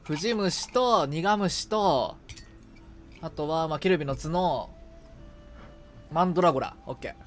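A man's voice: fairly high pitch, measured pace, pauses often, faint music behind. A man chatting casually while gaming, muttering short item checks to himself and exclaiming in a casual way. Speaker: game streamer, co-op partner.

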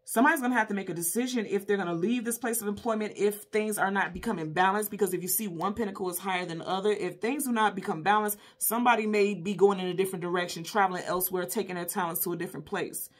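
A woman with a slightly husky, mid-pitched voice leads a tarot reading for her viewers. She talks casually and intuitively, stressing her points and circling back to them for emphasis. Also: fast, hardly any pauses. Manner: conversational, emphatic, often repeating points for emphasis. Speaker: tarot card reader and host.

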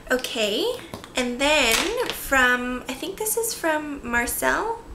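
A woman's voice: high voice, very animated, takes it slowly. A woman in her twenties chatting casually to camera as a beauty vlogger, often breaking off into little asides. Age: 20s. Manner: chatty, self-interrupting asides. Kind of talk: casual chat to camera showing a product haul. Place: small bare-walled room indoors. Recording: echoey room with faint background noise.

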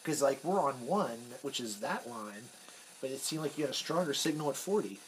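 A man's voice: mid-range voice, animated, moderate pace. Man casually explaining gear, noisy room. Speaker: radio hobbyist demonstrator. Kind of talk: casual hands-on demonstration. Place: cluttered indoor radio workshop. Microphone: handheld camera, off screen. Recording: noisy room, handheld camera recording.

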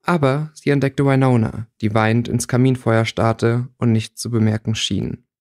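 A man's voice: mid-range voice, steady tone, normal speed, a little mellow, no echo, studio quality. Man narrating a story. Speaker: audiobook narrator. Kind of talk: scripted fiction narration.

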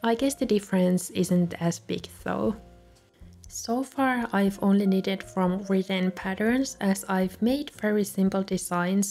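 A young woman, a native Finnish speaker, calmly narrating a voice-over for her video with soft laughs between remarks. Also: medium pitch. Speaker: journaling video creator. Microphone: voice-over recorded separately.

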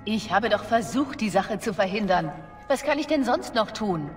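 A woman's voice: mid-range voice, animated, moderate pace, lightly acted. A woman as a guarded young bard, reverberant. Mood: indignant. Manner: questioning, guarded, indignant. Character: wary, wronged young female bard on the run. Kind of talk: dramatic video game voice acting. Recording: long reverb, music behind.

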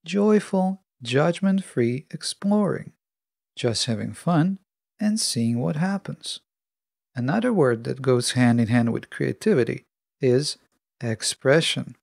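A middle-aged man hosting a podcast, delivering a scripted motivational monologue in a measured, deliberate way with emphatic pauses. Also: mid-range voice, animated, gravelly, darkish tone, dry recording, clean studio sound. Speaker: podcast host.

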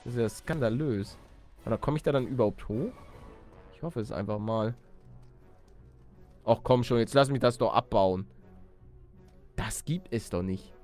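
A man doing excitable let's play commentary, exclamatory and slangy, talking to viewers and himself. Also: mid-range voice, normal speed, long pauses, soft background music. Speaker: gaming YouTuber and let's player.

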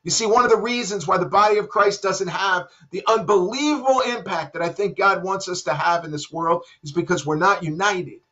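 A man in his fifties, a pastor, preaching a sermon from a room at home into a webcam, dropping soft and low for emphasis. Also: a little fast, few pauses. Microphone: webcam at desk. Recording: dull lo-fi webcam audio.

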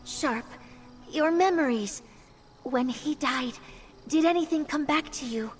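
A woman's voice: sits high, measured pace, faint music behind. An actress playing an earnest, affectionate young woman in a fantasy game. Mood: earnest, concerned. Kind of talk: scripted fantasy game voice acting.

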